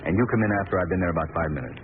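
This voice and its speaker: An actor playing a composed society gentleman and amateur detective in an old-time radio drama. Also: low-pitched, lively intonation, racing. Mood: composed, cautious. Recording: scratchy, tinny vintage radio recording.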